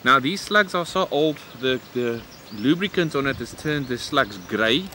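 A middle-aged man presenting a shooting vlog in a casual, expressive way, talking straight to his viewers. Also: mid-range voice, unhurried, slight background noise. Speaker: host of an airgun shooting channel.

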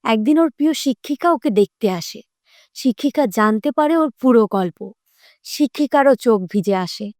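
A woman's voice: high-pitched, darkish tone, dry recording, clean studio sound. A woman narrating a scripted story for children as a storyteller.

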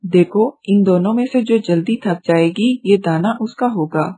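A woman voicing a wise male parrot elder in a children's story, measured and authoritative. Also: medium pitch, warm tone, breathy edge, lightly acted. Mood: measured, explaining.

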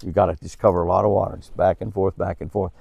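A man's voice: low-pitched, little pitch movement, quick pace, gravelly, dark tone, no echo, muffled recording. A man, giving a folksy talk to camera and repeating phrases for emphasis. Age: 70s. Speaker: host of a devotional and fishing tip show. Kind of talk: folksy devotional talk and fishing tips to camera. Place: outdoors in a wooded park.

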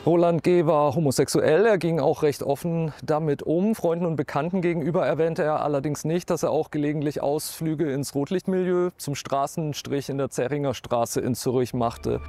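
A man's voice: fairly high pitch, normal speed, hardly any pauses, warm tone. A man in his thirties or forties, a true-crime TV expert, retelling a case factually on camera outdoors into a lapel mic. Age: 30s or 40s. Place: outdoors in town.

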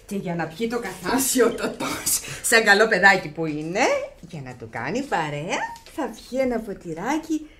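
A woman's voice: medium pitch, very animated, background noise, natural voice. A woman playing a domineering, self-pitying wife and pushy mother in a Greek radio theatre farce, insistent and melodramatic. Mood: coaxing, insistent. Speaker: actress in a radio comedy.

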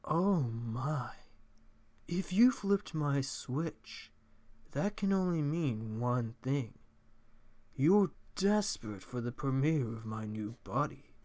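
A vain robot diva from a video game, voiced by a man. The delivery is slow, quiet and purring. It sounds sultry, with a smooth menace. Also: medium pitch, expressive. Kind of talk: video game character impressions.

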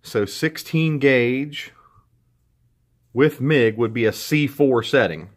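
A middle-aged man hosting a casual how-to walkthrough in his garage, relaxed and plain-spoken, with a few restarts as he explains. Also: mid-range voice, slow pace. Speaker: host. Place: indoor garage.

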